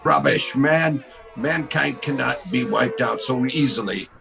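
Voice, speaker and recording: A man playing a gruff, indignant blusterer in a 1930s-style radio drama, with a gravelly voice. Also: medium pitch, a little slow, sharp tone, exaggerated voice.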